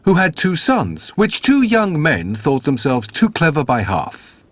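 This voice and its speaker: A man's voice: fairly high pitch, wildly swooping pitch, measured pace, a bit bright, no room sound. A man narrating a scripted fairy tale as a storyteller.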